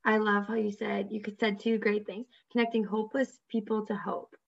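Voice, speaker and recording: A woman's voice: medium pitch, flat delivery, normal speed, gravelly. A woman hosting an interview, asking open questions in a conversational way on a slightly dull recording. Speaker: interviewer, host.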